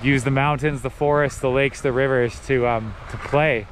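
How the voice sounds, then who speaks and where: A woman's voice: extremely low pitch, fairly even intonation, moderate pace, a little hiss or hum. A woman in her thirties coaching her kids on a ski slope and talking to an action camera outdoors. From Canada. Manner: encouraging, upbeat coaching. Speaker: vlogger and parent ski coach. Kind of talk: coaching kids while skiing, vlog talk. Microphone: helmet or handheld action camera.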